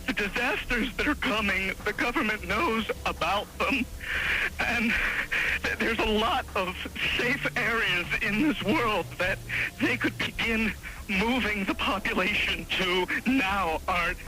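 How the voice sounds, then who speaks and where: A man on a phone line to a radio show, distraught and desperate, halting and stammering. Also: sits high, pushed and bright. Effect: telephone line. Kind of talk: frantic unscripted radio phone call. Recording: telephone call on AM radio, music behind.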